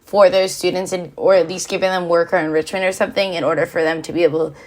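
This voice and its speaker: A woman's voice: on the low side, level tone, quick pace. A teenage young woman with an American accent, giving considered, explanatory opinions as a student guest in a casual panel discussion.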